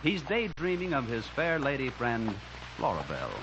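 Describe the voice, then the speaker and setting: A man's voice: medium pitch, huge pitch swings, normal speed, noisy background. A man announcing and narrating a scripted old-time radio comedy. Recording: muffled old radio broadcast recording.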